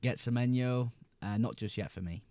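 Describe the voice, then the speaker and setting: A man's voice: mid-range voice, normal speed, mellow, no room sound. A young man hosting a casual livestream, reading viewers' chat messages aloud and answering them in a laid-back way. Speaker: livestream host.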